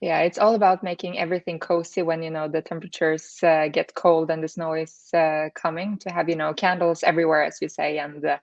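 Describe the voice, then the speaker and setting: A woman's voice: low voice, level tone, brisk, vocal fry, hardly any pauses, no echo, dull audio. A woman in her thirties from Norway with a Norwegian accent, giving conversational interview answers peppered with "you know". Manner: hedging, frequent "you know". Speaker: interview guest, startup accelerator CEO.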